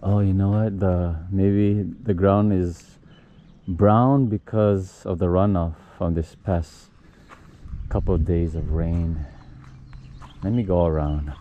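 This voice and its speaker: Low, slow-talking young man walking outdoors. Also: narrow, tinny audio. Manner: relaxed, musing aloud, frequent "oh" and "all right". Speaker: vlogger. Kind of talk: casual walking vlog narration. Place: outdoors on a calm bayside beach park. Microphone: action camera held or mounted while walking. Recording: outdoor camera audio with clear nature noise. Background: birds and outdoor ambience.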